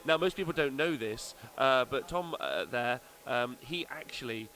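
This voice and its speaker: Male stream host, bright tone, halting chatty delivery with lots of ums. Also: medium pitch, expressive. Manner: chatty, halting, with many fillers. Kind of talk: casual live commentary.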